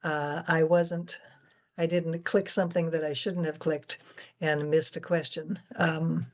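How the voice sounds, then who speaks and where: A woman's voice: low voice, little pitch movement, measured pace, darkish tone. A woman moderating an interview, informal and a little hesitant as she handles the questions. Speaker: guest moderator reading audience questions.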